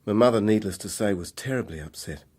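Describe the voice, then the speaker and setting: A man's voice: fairly low pitch, fast talker, a little mellow, lightly acted. An actor in a radio drama playing a troubled young man on the run, speaking in a hesitant, confessional way. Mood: uneasy, confessional.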